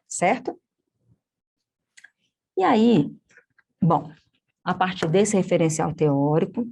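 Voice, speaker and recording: A woman's voice: low voice, darkish tone. A woman with a Brazilian Portuguese accent, an instructor teaching an online course, explaining step by step, often checking in with tag questions and correcting herself mid-sentence.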